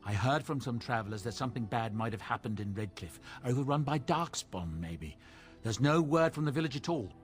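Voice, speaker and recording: A man's voice: mid-range voice, lively intonation, fairly quick, faint music behind, natural voice. A man voicing a genial middle-aged dwarf merchant in a fantasy game, with an obsequious salesman's courtesy. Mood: confiding, gossipy.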